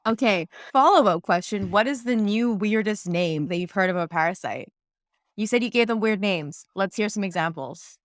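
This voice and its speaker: A woman's voice: mid-range voice, animated, normal speed, no room sound, clean studio sound. Chatty, playful woman co-host. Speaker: podcast co-host. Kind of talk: casual podcast chat.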